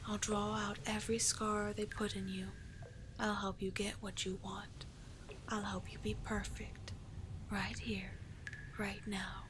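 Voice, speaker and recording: A woman's voice: mid-range voice, background noise, natural voice. Woman as a whispering, hypnotic sea witch. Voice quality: soft breathy whisper. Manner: hypnotic, coaxing, repetitive, tenderly possessive. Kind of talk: whispered ASMR roleplay, sleep aid.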